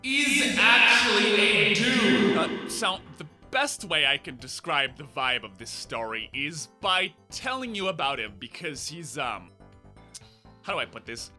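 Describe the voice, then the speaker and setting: A man narrating his own video essay with dry sarcasm and casual asides. His voice is very bright and slightly high. Faint music plays softly underneath. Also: expressive, unhurried. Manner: sarcastic, wry and conversational.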